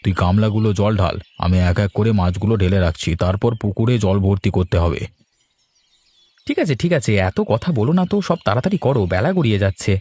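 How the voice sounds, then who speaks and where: A man voicing a brisk, practical, hardworking village brother in a cartoon. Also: fairly low pitch, lively intonation, dark tone, breathy edge, dry recording. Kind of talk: cartoon character dialogue.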